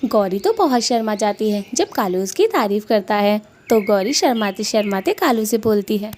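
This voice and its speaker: A woman's voice: medium pitch, lively intonation, moderate pace, a little mellow. Woman narrating over background birdsong and noise. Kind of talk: scripted children's cartoon story narration.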